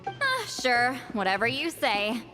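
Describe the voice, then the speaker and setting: A woman's voice: fairly high pitch, wildly swooping pitch, slow, over music, exaggerated voice. An actress playing a feared, blunt, short-tempered woman warrior in a video game, with a sardonic manner. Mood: dismissive.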